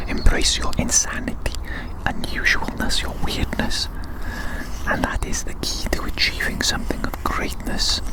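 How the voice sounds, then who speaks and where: An ASMR performer speaking in a breathy, unvoiced whisper, gently musing and reassuring, often softly repeating phrases. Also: low-quality recording.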